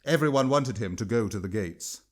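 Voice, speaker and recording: A man's voice: fairly low pitch, expressive, moderate pace. A man narrating a written story as an audiobook-style reader and its author.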